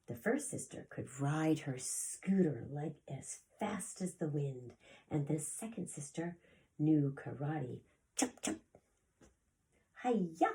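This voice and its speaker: A woman preschool teacher reading a story aloud to young children, expressive and deliberate, with a breathy voice that often drops toward a whisper. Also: lowish voice. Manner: addresses children directly, expressive with gasps and sound effects.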